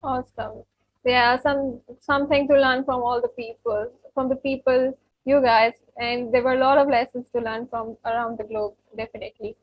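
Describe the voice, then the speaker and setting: A woman's voice: sits high, moderate pace, no room sound, highs cut off. A woman from Pakistan hosting an interview, speaking hesitantly with many fillers. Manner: warm-hearted, hesitant with fillers. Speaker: podcast host.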